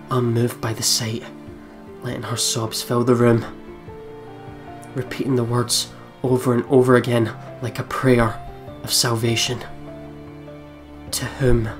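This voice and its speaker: Steady, even-toned game narrator over music. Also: medium pitch. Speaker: let's play host. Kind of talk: reading visual novel text aloud with spoken reactions. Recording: music behind the voice.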